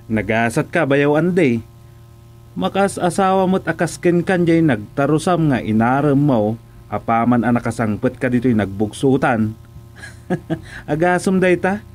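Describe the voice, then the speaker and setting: A man with an Ilocano accent acting a curious, excitable villager in a comedic radio drama, with exclamations and drawn-out interjections. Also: on the high side, soft background music. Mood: amused, teasing.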